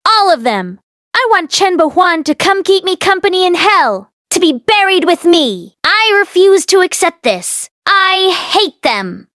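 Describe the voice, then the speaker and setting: A woman acts out a young ghost woman in an audiobook tale, wronged and vengeful. Her high voice swings emphatically with bitter anguish, in a dry, close recording. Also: sing-song, measured pace, a bit bright, dry recording, heavily acted.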